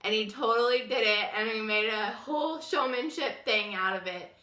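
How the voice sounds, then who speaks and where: A woman's voice: on the high side, moderate pace, sharp tone, echoey room. A young woman with a sick, wheezy voice, chatting and rambling through a casual story time vlog. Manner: chatty, rambling with many fillers. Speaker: vlogger.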